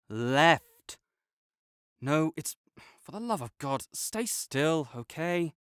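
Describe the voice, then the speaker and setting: A man's voice: a little high, a bit bright, no room sound, studio quality. A young man voicing a competitive male student rival who teases and bosses, then turns flustered and defensive. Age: young adult. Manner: teasing and bossy, gets flustered and defensive. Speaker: voice actor in an audio roleplay. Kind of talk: audio roleplay acting.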